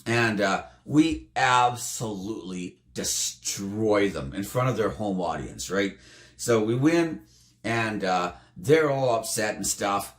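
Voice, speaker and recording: Animated, slightly hoarse man in echoey room. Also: takes it slowly. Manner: animated, jumps up in pitch for emphasis. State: slightly hoarse, losing his voice. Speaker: tabletop game player recounting a campaign. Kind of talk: casual storytelling to camera.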